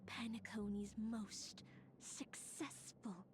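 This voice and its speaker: Woman acting a slow, menacing young woman. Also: medium pitch, fairly even intonation, a little mellow, in a whisper, close to an everyday voice. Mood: cold hatred. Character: bitter, menacing young woman with cold hatred. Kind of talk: dramatic video game voice acting.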